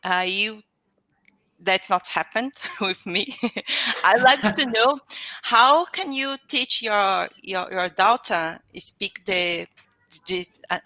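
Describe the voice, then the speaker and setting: A woman from Brazil with a Brazilian Portuguese accent, practicing English and often pausing and restarting as she searches for words. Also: medium pitch, sharp tone, no echo, low-quality recording. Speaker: English learner. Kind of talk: casual conversation practice.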